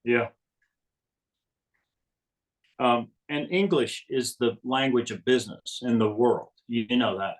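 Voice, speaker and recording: A man's voice: mid-range voice, moderate pace. Distant-sounding man asking questions casually. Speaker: interviewer. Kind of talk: casual conversation. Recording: distant from the microphone.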